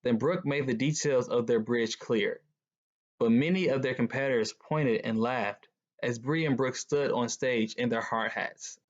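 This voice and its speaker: A man reads a picture book aloud to children as a guest reader. His voice sits at a middle pitch, and the lo-fi recording sounds slightly dull. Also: unhurried.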